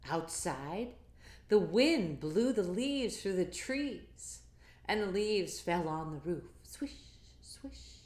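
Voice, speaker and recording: A woman reads a children's story with slow, drawn-out, sing-song phrasing and very lively pitch. She speaks to young listeners in an encouraging, interactive way. The room adds an audible echo. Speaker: storyteller reading a picture book to children. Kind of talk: animated read-aloud with asides to young listeners.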